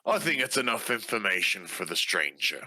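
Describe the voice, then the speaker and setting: A man's voice: on the low side, big pitch swings, moderate pace, pushed and bright, no room sound. A man playing a tabletop game, improvising in character with a guarded, blunt, wry manner.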